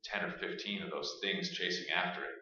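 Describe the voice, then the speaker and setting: A man's voice: low-pitched, a little fast, sharp tone, full character voice. A man playing a resolute, blunt, commanding survivor in a staged horror radio play. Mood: grim recounting. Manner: urgent, blunt. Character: resolute, commanding male survivor of monster siege. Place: live stage performance. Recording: distant, very echoey, muffled stage recording.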